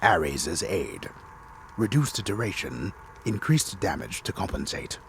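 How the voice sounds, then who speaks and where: A man playing a disembodied game storyteller, reading a script with grave, deliberate, theatrical delivery. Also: deep voice, big pitch swings, creaky, a bit bright, slight background noise, exaggerated voice. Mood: solemn, deliberate. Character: disembodied storyteller, grave, measured, solemn male narrator. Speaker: storyteller narrator.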